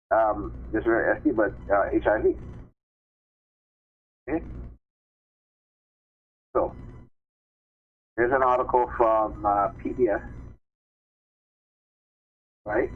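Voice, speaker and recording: Man on a tinny, noisy phone line. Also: fairly low pitch. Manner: halting reading with repeated phrases and checking tags. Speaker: live stream host reading articles. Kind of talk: reading news articles aloud with informal commentary. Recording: tinny phone-line sound with clear background noise.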